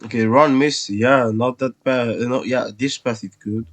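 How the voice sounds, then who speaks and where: A man's voice: medium pitch, steady tone, normal speed. A man doing casual let's play commentary, reacting in short remarks and talking back to the game's characters.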